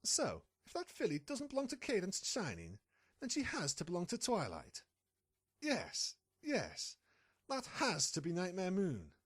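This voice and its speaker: Scheming pony reporter, played by a woman: husky, hugely animated delivery, confident and impatient, for an audio drama. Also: lowish voice, a little slow, full character voice. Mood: insistent deduction. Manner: confident, conniving, impatient with a partner. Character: sly, scheming pony reporter chasing a scoop. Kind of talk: fan audio drama acting.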